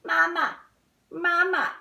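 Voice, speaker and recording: A woman's voice: extremely high pitch, level tone, slow, creaky, pushed and bright, highs cut off, voice pushed up, heavily acted. A woman voicing tiny, clingy baby goslings from a storybook with childlike, pleading calls. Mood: eager calling. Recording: roomy indoor echo.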